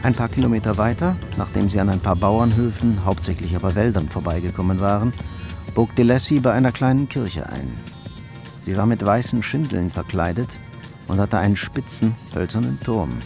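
A man's voice: lowish voice, moderate pace, gravelly. Male crime drama narrator over music.

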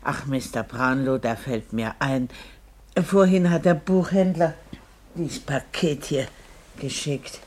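Motherly housekeeper: husky, gentle, earnest, loyal, vintage German radio drama. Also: rumbling low, slow, full character voice. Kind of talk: 1950s German radio play acting.

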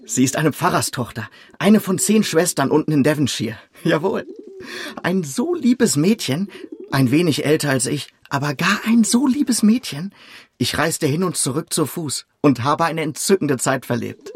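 An actor playing an earnest, modest, good-hearted young man in a German radio drama, with a husky voice and eager manner. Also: a little high, animated, moderate pace. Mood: delighted, smitten.

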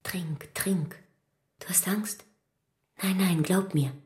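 A woman's voice: on the low side, steady tone, takes it slowly, a little mellow. An actress playing a devoted, passionate woman in a radio drama, fervent and imploring. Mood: soothing, anxious. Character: devoted, passionate woman protecting her broken lover.

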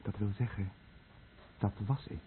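A man's voice: lowish voice, fairly even intonation, a little slow, natural voice. An actor playing a dying, guilt-ridden fisherman who tells of his lost village in a brooding, bitter radio drama monologue. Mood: urgent warning. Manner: brooding, bitter, confessional monologue addressed to the listener. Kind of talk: Dutch-language radio drama narration. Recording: muffled narrow-band old radio recording, faint noise.

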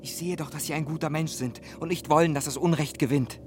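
An actor playing an anxious, earnest young man who pleads his innocence haltingly in a radio drama. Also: on the high side, fairly even intonation, faint music behind, lightly acted. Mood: desperate, pleading. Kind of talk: German radio drama acting.

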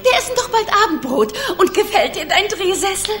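A woman playing a fussy, indulgent, excitable mother in a German children's radio play. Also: very high voice, a little fast. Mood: doting.

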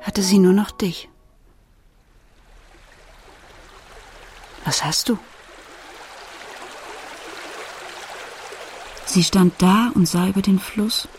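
A woman's voice: medium pitch, steady tone, normal speed, darkish tone, faint music behind, close to an everyday voice. A middle-aged woman playing an anxious, tender mother, speaking in a subdued, thoughtful way in a radio drama. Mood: quiet remembering. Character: anxious, tender mother of an ailing teenage girl. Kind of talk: radio drama dialogue and narration.

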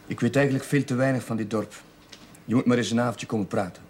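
An actor playing an earnest, wry young village chaplain in 1970s television drama dialogue, with an informal, lightly ironic manner. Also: male voice, medium pitch, fairly even intonation, fast talker, a little hiss or hum, close to an everyday voice. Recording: old TV soundtrack under loud music.

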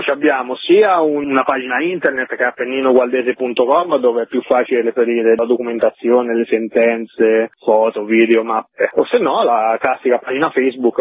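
Man on a tinny phone line, husky. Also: mid-range voice, dry recording. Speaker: activist interviewee. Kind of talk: radio interview, explaining demands.

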